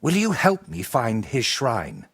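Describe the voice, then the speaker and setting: An actor playing an ambitious, vain medieval painter in a video game, speaking in an articulate, theatrical and persuasive way. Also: male voice, medium pitch, huge pitch swings, slow, creaky, fairly bright tone, husky, heavily acted. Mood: intrigued, storytelling. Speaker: painter character. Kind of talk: video game cutscene dialogue.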